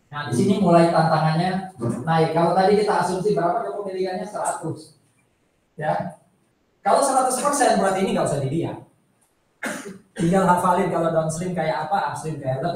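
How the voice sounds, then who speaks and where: A man's voice: fairly high pitch, darkish tone. A man with an Indonesian accent giving an informal lecture, repeating his points and posing questions to his listeners as he explains. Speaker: accounting lecturer. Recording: echoey room, distant microphone.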